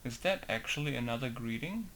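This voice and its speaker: A man's voice: medium pitch, animated, brisk, a bit bright. Modder, man, casual muttered tutorial, mid voice, faint hiss. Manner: muttering to himself, thinking aloud. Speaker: game modder. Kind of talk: casual tutorial while working.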